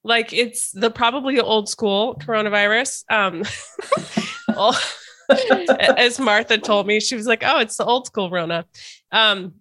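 A woman's voice: a little high, slow pace, a bit bright. A woman hosting a casual interview podcast, chatty and hesitant with many fillers and restarts, a little stuffy from a cold. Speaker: podcast host.

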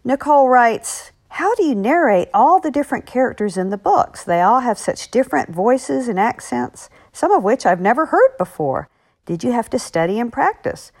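A woman's voice: on the high side, big pitch swings, normal speed, a little mellow. A woman speaking as an author answering listener letters, in a chatty, encouraging manner. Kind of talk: friendly listener question segment.

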